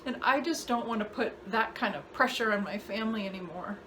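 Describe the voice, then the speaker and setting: Casting: actress as bitter, guilt-laden mother in a stage-style monologue, bright higher voice, halting delivery, noisy home recording. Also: lightly acted. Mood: resentful, guilty. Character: bitter, guilt-ridden mother burdened by a troubled family. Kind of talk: dramatic acting monologue.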